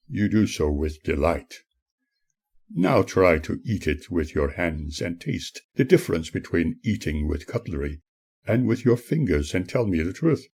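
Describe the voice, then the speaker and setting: A man narrating his own spiritual audiobook as its author, in an earnest, preachy manner with measured phrasing and a husky voice. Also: low voice, mellow. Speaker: author reading own book.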